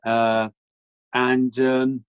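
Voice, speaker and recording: A man, an actor in a casual panel interview, explaining things thoughtfully with hesitant pauses. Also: medium pitch, level tone, clear, resonant tone, dry recording. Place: home, remote lockdown recording.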